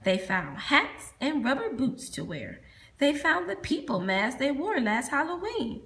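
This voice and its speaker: Woman reading a kids' storybook, kinda high voice, asks the listener stuff. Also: sing-song, fairly bright tone. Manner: pauses to ask the listener questions. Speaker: storybook reader. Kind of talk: children's read-aloud.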